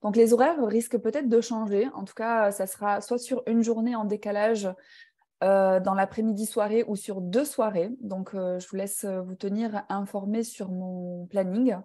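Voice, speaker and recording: A woman's voice: mid-range voice, fairly even intonation, normal speed, warm tone. A woman leading an online personal growth class, speaking reflectively with frequent hesitations. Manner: reflective, hesitant with many fillers. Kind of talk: live online talk to participants.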